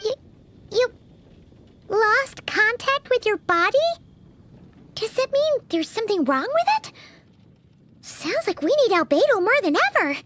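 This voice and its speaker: Game fairy companion: squeaky, very high, lively. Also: female voice, unhurried, pauses often, heavily acted. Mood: curious. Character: small floating fairy companion girl, chirpy. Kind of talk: video game voice acting.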